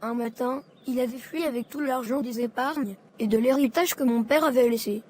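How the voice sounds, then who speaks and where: Woman acting a high-voiced, earnest young boy. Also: normal speed, darkish tone, slight background noise, lightly acted. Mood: sad, confessional. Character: hurt, dutiful schoolboy son named Karl. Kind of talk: dramatic dialogue and confession in an animated short. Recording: music behind.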